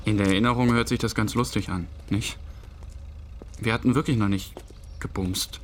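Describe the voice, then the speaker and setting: Reflective bereaved man played by an actor, lower pitch, documentary-style radio drama recollection. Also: fairly even intonation, normal speed, a little hiss or hum, close to an everyday voice. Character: bereaved man recalling his romance, reflective.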